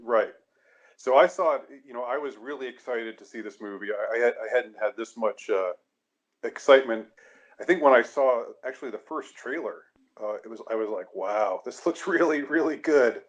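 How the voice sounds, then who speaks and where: A man's voice: mid-range voice, normal speed, dull audio. A man hosting a podcast discussion, talking casually and hesitantly with many restarts. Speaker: podcast host.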